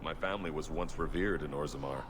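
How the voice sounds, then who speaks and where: A man's voice: extremely low pitch, quick pace, background noise. A man playing a grave, proud exiled dwarf nobleman in a fantasy video game, with solemn, formal phrasing.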